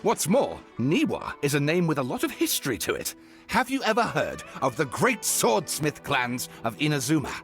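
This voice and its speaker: An excitable male writer from a video game. The voice is high and lively, with showy, theatrical emphasis, over light background music. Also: moderate pace, exaggerated voice. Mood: eager. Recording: music behind the voice.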